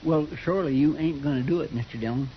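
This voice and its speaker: An actor playing a loyal, sarcastic marshal's sidekick in a 1950s western radio drama, with a folksy rural American drawl. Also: male voice, a little high, quick pace, natural voice. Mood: indignant. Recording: muffled, noisy 1950s radio recording.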